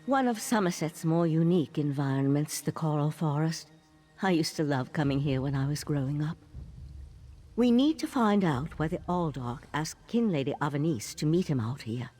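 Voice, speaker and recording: A woman playing a composed elven mage in a video game, earnest and wary, with a measured, formal way of talking. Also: deep voice, animated, a little mellow, faint music behind. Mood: thoughtful, wary. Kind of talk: video game character acting.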